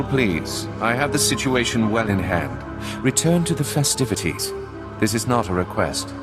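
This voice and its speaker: A man playing a formal, polished, calculating baron in a video game cutscene, speaking in a measured way. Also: fairly low pitch, natural voice. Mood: firm, polite. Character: courteous, composed male nobleman baron, formal and calculating. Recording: long reverb, music behind.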